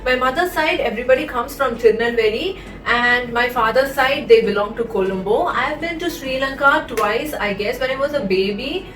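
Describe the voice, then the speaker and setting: A woman's voice: high-pitched, moderate pace, few pauses. A woman in her twenties from Chennai, India, co-hosting a vlog in casual chat and laughing often. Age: 20s. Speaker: vlog co-host. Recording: roomy, from a distance, soft music.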